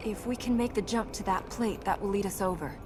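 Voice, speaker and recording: An actress playing an earnest, determined young princess in a video game, speaking with resolute directness. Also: female voice, mid-range voice, brisk, music in the background, lightly acted. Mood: earnest, grave. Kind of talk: video game dialogue acting. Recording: game audio with background noise.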